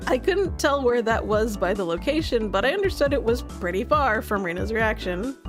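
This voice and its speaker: A woman's voice: on the high side, expressive, normal speed, over music. A woman live streaming, reading a story game aloud and chatting in a playful manner.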